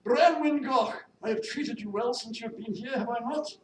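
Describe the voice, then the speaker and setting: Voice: medium pitch, moderate pace, voice pushed up, lightly acted. A reader voicing a haughty, peevish widow of the minor gentry who scolds in formal, bossy speech, as in a period novel. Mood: condescending. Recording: noisy echoey room, distant mic.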